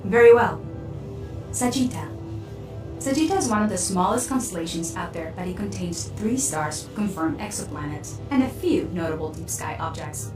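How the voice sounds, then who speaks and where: A woman's voice: on the low side, animated, moderate pace, lightly acted. A woman voicing a sarcastic ship AI crewmate. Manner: dry, sarcastic, lecturing. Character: snarky female starship crew AI, sarcastic. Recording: roomy, distant, music behind.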